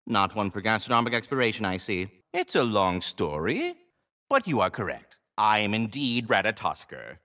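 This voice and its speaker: Fussy erudite squirrel, game character, florid theatrical diction, bright tone, extremely animated pitch. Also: male voice, moderate pace, no echo, clean studio sound. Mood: courteous, delighted. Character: fussy, erudite, polite giant squirrel keeper of the world tree. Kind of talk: scripted video game character dialogue.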